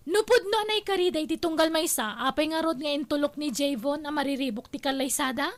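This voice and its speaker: A woman's voice: high voice, brisk, close to an everyday voice. A woman playing a spurned, scheming young ex-lover in a radio drama, taunting and confrontational. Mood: defiant, taunting. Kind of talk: Ilocano radio drama acting.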